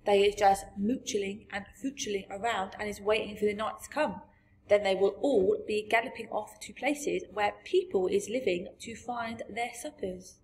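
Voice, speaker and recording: A woman voicing a big, friendly male giant from a storybook, gentle and boastful, with muddled grammar and emphatic delivery. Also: medium pitch, steady tone, normal speed, a little hiss or hum, natural voice, low-quality recording. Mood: explaining. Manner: garbled grammar, invented words, emphatic. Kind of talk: storybook character voice.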